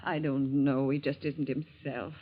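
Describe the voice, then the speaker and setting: A woman's voice: rumbling low, flat delivery, unhurried, slight background noise. A woman playing an anxious, protective middle-aged mother and wife, pleading and emotive in 1940s radio melodrama style. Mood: hesitant, troubled. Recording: muffled vintage 1940s radio recording.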